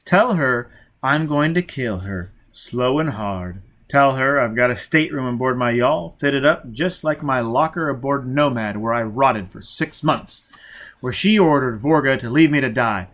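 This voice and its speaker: A man's voice: mid-range voice, measured pace, fairly bright tone, close to an everyday voice. A man voicing a ruthless, vengeful man who snaps orders with menace in an audiobook story. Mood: vengeful, menacing. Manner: barking orders, snapping, menacing. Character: ruthless, vengeful, furious man hunting his enemy.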